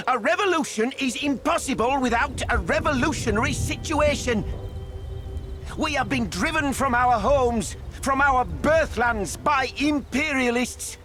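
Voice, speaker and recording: A small male fairy agitator speaks in a very high voice over faint music. He is earnest and pompous, turning every sentence into a zealous political speech for a fantasy game. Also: sharp tone, exaggerated voice. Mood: rousing zeal. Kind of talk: video game character monologue.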